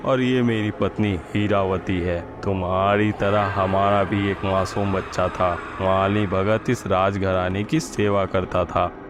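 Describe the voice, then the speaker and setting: A man voicing a vengeful dead prince, the last royal heir, making grave, resentful declarations in a horror story. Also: low-pitched. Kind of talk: Hindi horror storybook monologue.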